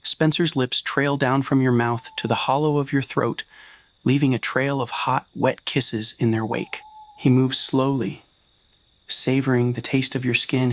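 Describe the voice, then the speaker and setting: A man reading a scripted romantic roleplay story as narrator, in an ASMR audio style. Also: mid-range voice.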